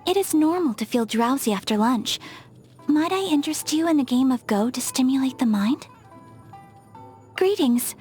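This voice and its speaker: A woman playing a gentle, refined young noblewoman in a video game, speaking with formal, poetic, soft-spoken politeness. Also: high voice, lively intonation, normal speed, pauses often. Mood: gentle, warm. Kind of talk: scripted video game character voice lines. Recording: music behind the voice. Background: music.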